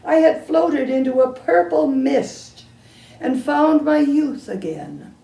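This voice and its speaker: A woman's voice: sits high, animated, slow, vocal fry, warm tone, voice pushed up, heavily acted. A woman reading a drowsy, grumbling old man. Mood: grumpy. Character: dreamy, grumpy old man with a ladder. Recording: echoey room, from a distance.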